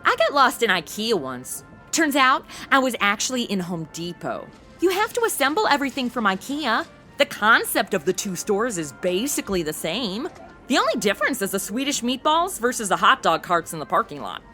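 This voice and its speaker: A woman's voice: a little high, big pitch swings, moderate pace, sharp tone, faint music behind. A woman playing a goofy, slangy modern sidekick with casual, sassy quips in a comedy audio drama. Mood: sassy, rambling. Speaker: host and co-lead.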